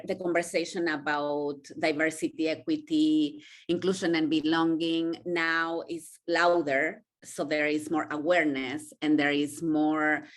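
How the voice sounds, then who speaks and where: Woman, middle-aged, from Mexico, Mexican-Israeli accent, earnest, reflective, rather low voice. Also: fairly bright tone. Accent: Mexican Spanish mixed with Israeli. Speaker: podcast guest, intercultural coach. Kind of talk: conversational interview.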